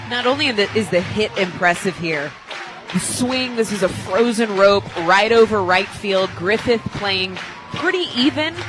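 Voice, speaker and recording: A woman giving live softball analysis as a radio color commentator in an explanatory, conversational way. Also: medium pitch, animated, normal speed, music in the background. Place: radio booth at a softball stadium.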